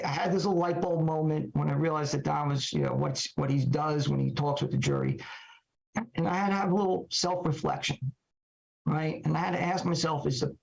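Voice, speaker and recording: A hoarse middle-aged man talks through his thoughts on a podcast, pausing on fillers and asking himself questions. His voice sits a bit high and rasps with heavy fry. The audio is dull and lo-fi. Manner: halting, many fillers and rhetorical questions. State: hoarse, recovering voice. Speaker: trial lawyer podcast guest. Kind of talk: reflective solo talk.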